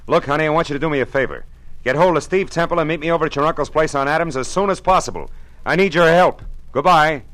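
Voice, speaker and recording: A man's voice: on the high side, fast talker, fairly bright tone, no echo, lightly acted, low-quality recording. An actor playing a tough, wisecracking private eye who narrates his own case in first person, in old-time radio drama style. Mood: pressing. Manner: hard-boiled, wry first-person narration. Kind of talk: 1940s radio drama acting.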